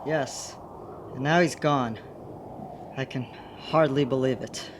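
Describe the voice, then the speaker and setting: Woman as Mister Archer, low-voiced earnest adventurer. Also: steady tone, slow pace, noisy background. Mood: grieving. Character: Mister Archer, earnest, excitable man, superhero's loyal companion. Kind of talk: old-time radio serial acting.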